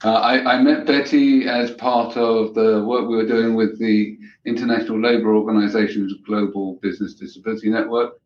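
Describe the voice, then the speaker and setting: A male host with a lowish voice introduces his guest and asks questions in a measured way, often restarting his phrases. The sound is roomy and echoey and a bit dull. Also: flat delivery, a little mellow, a little hiss or hum. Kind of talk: interview host.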